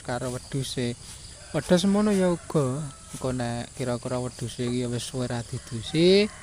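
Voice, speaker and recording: A man from rural Java with a Javanese accent, narrating his daily chores in a casual vlog, often repeating himself and tagging phrases with a questioning filler. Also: medium pitch. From rural Java, Indonesia. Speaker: village vlogger, farm labourer. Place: outdoors by a goat pen in a village. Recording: noisy outdoor village ambience.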